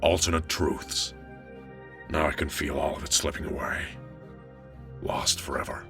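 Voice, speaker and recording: A man playing an ancient, weary robot leader in an audio drama, speaking gravely and with measure. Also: extremely low pitch, steady tone, a little slow, a bit bright, distant mic, faint music behind, heavily acted. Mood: solemn, weary.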